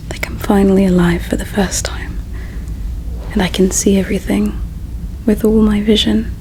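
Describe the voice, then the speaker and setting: A woman playing a gentle, caring alien in an ASMR roleplay, speaking in a slow, hushed, tender and wistful way with a breathy quality. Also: mid-range voice, slight background noise, rough recording. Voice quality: breathy whisper with creaky edges. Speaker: ASMR performer. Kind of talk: ASMR roleplay, whispered and soft-spoken.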